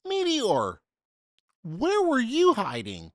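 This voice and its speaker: An encouraging astronaut from a children's storybook, voiced by a man reading aloud. The voice is lifted in pitch and emphatic, with big swings, in a dry recording. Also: wildly swooping pitch, slow, fairly bright tone, dry recording, exaggerated voice. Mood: surprised. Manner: exclamatory, emphatic storybook delivery. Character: astonished, encouraging space mission commander and crew astronauts.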